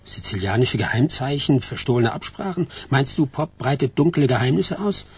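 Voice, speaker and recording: An actor playing a reasonable, needling mobster's son with a raspy voice, in a radio crime drama. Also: male voice, medium pitch, lively intonation, normal speed. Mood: challenging. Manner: questioning, needling.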